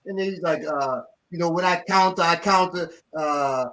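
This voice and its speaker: Middle-aged male instructor, slightly high voice, lively casual storyteller who mimics others. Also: moderate pace, low-quality recording. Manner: animated, acts out quotes of others. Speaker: martial arts instructor.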